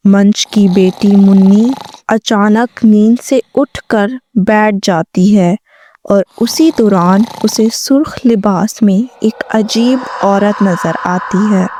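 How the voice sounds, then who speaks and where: A woman's voice: medium pitch, mellow, slight background noise. A woman narrating a scripted story as the storyteller.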